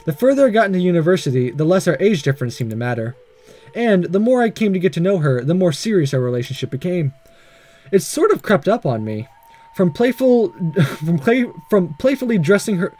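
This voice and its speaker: A man voicing a smitten, earnest young male student in a visual novel, halting and sincere. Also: sits high, very animated, darkish tone. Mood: tender, reflective. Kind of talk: visual novel read-aloud. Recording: faint music behind.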